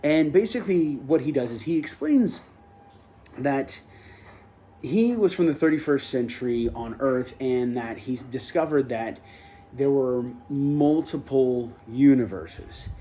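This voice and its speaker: Mellow-voiced man, loose rambling recap. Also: medium pitch, a little slow, slight background noise. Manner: rambling, loose, self-correcting delivery. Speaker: show host reviewing a TV episode. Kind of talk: casual spoken recap and review.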